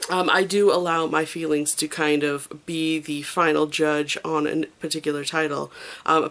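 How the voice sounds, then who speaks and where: A manga review vlogger explaining their views to camera, casual and thoughtful, pausing often with fillers and stressing pet peeves. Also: medium pitch, creaky, a bit bright.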